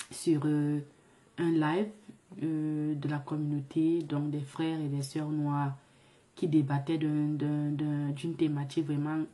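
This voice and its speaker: A woman with the flu speaks in a low, flat, mellow voice. She hosts her vlog in an earnest, reflective way. Her speech is halting, with many fillers and restarts. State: sick with the flu. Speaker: vlog host. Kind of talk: casual vlog monologue.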